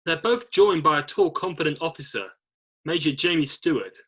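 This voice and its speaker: A man's voice: on the high side, expressive, moderate pace, a bit bright, husky. A man narrating, reading screenplay stage directions aloud in an amateur read-through.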